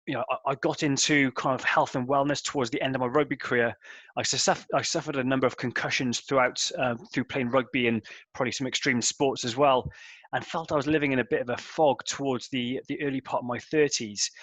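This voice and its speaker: A man's voice: mid-range voice, fairly bright tone, no room sound. A man in his thirties, a company co-founder, telling his background in a loose, rambling interview answer full of fillers. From Wales. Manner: loose, filler-heavy storytelling.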